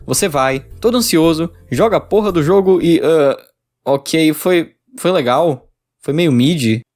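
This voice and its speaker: A man's voice: on the high side, unhurried, a little mellow. A young Brazilian man reading an informal scripted video review, irreverent and sweary in his delivery. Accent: Brazilian Portuguese. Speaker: video essayist reviewing games.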